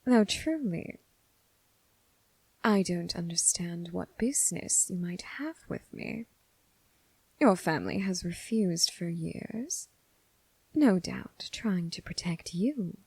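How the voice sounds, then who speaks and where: A woman acting as a seductive, coolly amused and menacing crime boss in an ASMR roleplay, speaking in a breathy near whisper with deliberate, teasing, formal phrasing. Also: fairly low pitch, lively intonation, darkish tone, no echo, heavily acted. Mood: amused, businesslike.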